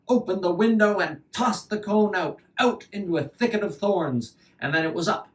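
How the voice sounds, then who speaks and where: A man performs a fairy tale as an oral storyteller. His voice is fairly high and his delivery unhurried, dwelling on phrases and echoing them for dramatic effect. The microphone catches him from a slight distance. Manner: deliberate, repeats phrases for effect.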